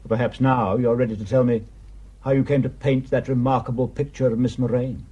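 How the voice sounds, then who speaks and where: An actor playing a stern, accusing police superintendent in a radio drama, with formal, clipped questioning. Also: male voice, medium pitch, steady tone, fairly quick, warm tone, breathy edge, highs cut off, close to an everyday voice. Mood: probing.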